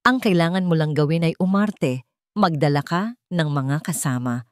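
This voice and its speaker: Casting: rich old villainess woman performer, cold, menacing, imperious, low register, dramatic audio story. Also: normal speed, smooth, no echo, clean studio sound, close to an everyday voice. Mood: scheming, commanding. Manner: commanding, menacing. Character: cold, scheming, wealthy elderly villainess.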